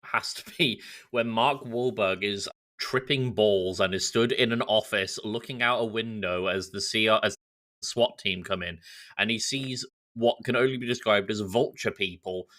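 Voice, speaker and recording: A man co-hosting a podcast, talking casually about films in an animated, mocking storytelling way. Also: lowish voice, moderate pace. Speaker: podcast co-host. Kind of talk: casual film discussion.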